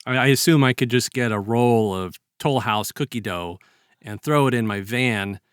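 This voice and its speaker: A man's voice: medium pitch, moderate pace, clean tone, dry recording, clean studio sound. An American man co-hosting a podcast, talking casually in a reflective, hedging way with wry humor. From South Texas, United States (lives there). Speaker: podcast co-host. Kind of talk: casual discussion.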